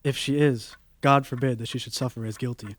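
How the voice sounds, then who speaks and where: An actor playing a grieving, earnest younger brother in a radio play, formal and subdued. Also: male voice, mid-range voice, steady tone, brisk, natural voice. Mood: sad, earnest.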